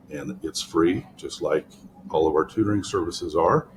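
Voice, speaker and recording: An older man, a college writing tutor, walks through an online tutoring tool from his office, explaining in a relaxed way with frequent ums. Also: extremely low pitch, background noise. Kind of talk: screen-share tutorial walkthrough. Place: office, indoors.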